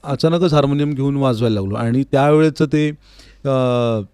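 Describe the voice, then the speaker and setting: A Maharashtrian man speaks as an interview guest, at a middling pitch and with a clean, resonant tone. He is reflective and hesitant, often pausing partway through a phrase. The studio sound is close and dry. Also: warm tone, smooth, no room sound. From Manmad near Nashik, Maharashtra, India. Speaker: interview guest, classical vocalist. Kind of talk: conversational interview answers. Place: recording studio.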